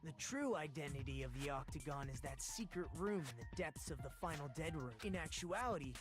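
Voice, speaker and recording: An actor playing a composed young male detective in a video game, explaining things in a measured, matter-of-fact way. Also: a little high, lively intonation, music in the background, lightly acted. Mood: composed, explanatory. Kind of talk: dramatic video game voice acting.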